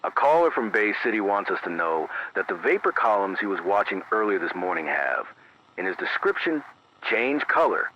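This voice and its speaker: A man playing a careful, grave local radio announcer who reads emergency bulletins in a formal, measured way, repeating key phrases, through an AM radio filter. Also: on the low side, sing-song, bright, forceful tone, heavily acted. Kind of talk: scripted analog horror news bulletin. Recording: dull AM radio sound, faint noise.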